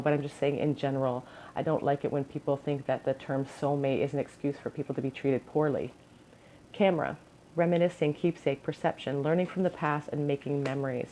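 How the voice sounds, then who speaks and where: A woman's voice: deep voice, darkish tone. A woman with a crackly voice giving an earnest pick-a-card tarot reading at home, advising with frequent ums and restarts. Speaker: tarot reader.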